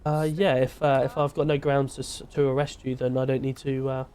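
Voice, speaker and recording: A British man playing a police officer in a game roleplay, asking questions and giving brisk orders over the radio. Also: mid-range voice, little pitch movement, a little mellow, clean tone.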